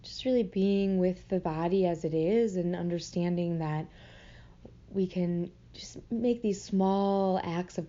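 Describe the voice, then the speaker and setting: A woman leading a guided meditation in a soothing, gentle manner, unhurried with long pauses, on slightly muffled audio. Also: on the low side.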